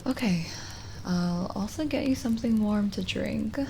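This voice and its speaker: A woman playing a lonely, kindly witch in an ASMR roleplay, speaking gently and coaxingly with hesitant pauses and drifts into whispering. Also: medium pitch, vocal fry, a little hiss or hum. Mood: reassuring. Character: lonely, kindly forest witch, soothing and hospitable. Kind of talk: ASMR roleplay audio drama.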